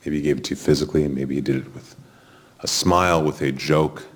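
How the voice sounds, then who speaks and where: A man speaking as a rabbi leading a funeral service, gently consoling and conversational as he gives a homily and prayers. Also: very deep voice, steady tone, fast talker, breathy edge. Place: funeral chapel.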